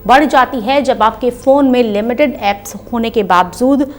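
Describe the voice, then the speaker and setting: A woman's voice: fairly high pitch, moderate pace, soft background music. A woman reading a scripted news explainer as narrator, in a formal, instructive presenter's delivery.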